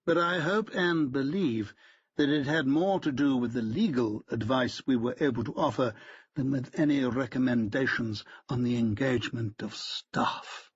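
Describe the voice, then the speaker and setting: A pompous, stiff barrister, as a male audiobook reader puts him on, speaking in a haughty, clipped, precise way. The voice is a little husky. The sound is dull and lo-fi. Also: medium pitch, normal speed, no room sound, natural voice.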